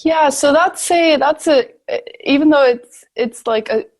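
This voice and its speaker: A woman's voice: sits high, unhurried. A young woman from Turkey and the Balkans answering interview questions conversationally, thoughtful and often searching for her words. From Turkey, grew up in the Balkans. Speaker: podcast guest, sociology researcher.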